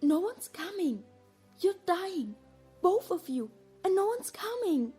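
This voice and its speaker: A woman's voice: very high-pitched, big pitch swings, slow pace, warm tone, faint music behind, exaggerated voice. A woman playing an anxious, bossy teenage witch girl in an audio drama. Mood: desperate.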